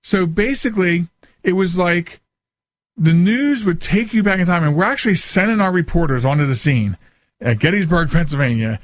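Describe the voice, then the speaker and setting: A man's voice: high-pitched, expressive, normal speed, dry recording. An American man hosting a radio talk show in a casual, chatty, folksy and joking way.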